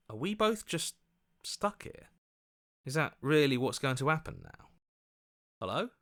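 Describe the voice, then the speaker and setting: An actor playing an earnest, anxious heroic inner voice in a video game, protesting and questioning. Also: male voice, on the high side, a bit bright, full character voice. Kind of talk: dramatic game voice acting.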